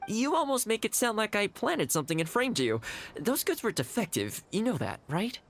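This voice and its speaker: An easygoing, earnest official in a video game. He negotiates reasonably in a conversational voice with lively pitch movement. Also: moderate pace, exaggerated voice. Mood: persuasive. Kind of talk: video game character acting.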